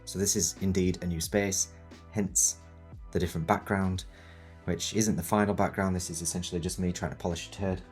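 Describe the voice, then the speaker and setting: A man in his thirties hosting a video tutorial, croaky from a cold, talking in a rambling, joking, self-mocking way. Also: sits low, steady tone, brisk, darkish tone, faint music behind. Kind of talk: casual chatty tutorial. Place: home studio set in a new house.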